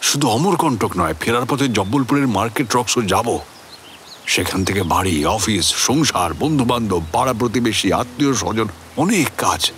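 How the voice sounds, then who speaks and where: Low-voiced, obsessed middle-aged storyteller. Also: male voice, expressive, fairly bright tone, a little hiss or hum. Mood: brooding. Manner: theatrical, intense, with suspenseful pauses. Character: obsessed middle-aged family man recounting a haunted pursuit. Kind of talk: dramatic first-person audio story narration.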